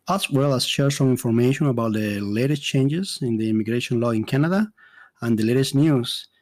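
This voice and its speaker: A man's voice: medium pitch, a little mellow, no room sound. Earnest Spanish-accented man, dry close mic. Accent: Dominican Spanish. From Dominican Republic. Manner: earnest, halting, with many fillers and restarts. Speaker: immigration consultant and podcast host. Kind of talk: solo podcast monologue.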